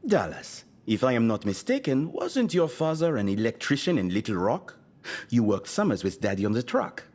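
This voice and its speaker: A man's voice: medium pitch, sing-song, normal speed, darkish tone. An actor playing a guarded, bitter salesman in an audio drama, speaking in a pointed, wry and insinuating way. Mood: cool, insinuating. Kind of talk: scripted audio drama acting. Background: music.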